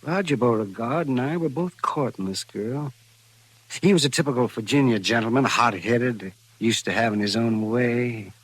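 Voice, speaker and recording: An actor playing a wry frontier doctor with a haunted past, in a theatrical, wordy 1950s radio drama style. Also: male voice, mid-range voice, moderate pace, no room sound, natural voice. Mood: wistful, subdued. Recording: vintage radio recording.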